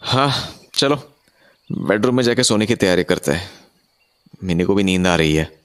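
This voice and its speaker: A man's voice: low-pitched, animated, normal speed. An actor playing an easygoing, dismissive husband and young father in a horror audio drama, speaking colloquially and telling the story. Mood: puzzled, disgusted. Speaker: actor and first-person narrator. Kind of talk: Hindi horror audio drama, acted dialogue and narration.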